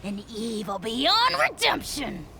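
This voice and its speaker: A woman's voice: fairly high pitch, huge pitch swings, slow pace, a crowd in the background, full character voice. A woman voicing a tiny, boastful evil dragon lord in a video game, declaiming in a pompous, grandiose way. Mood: haughty boasting.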